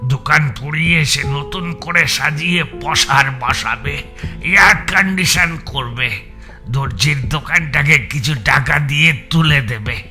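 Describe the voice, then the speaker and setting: Man as Hiralal, sharp-voiced, wounded old father. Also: a little high, faint music behind, heavily acted. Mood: aggrieved. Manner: plaintive, distressed, halting. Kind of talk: dramatised audio story acting.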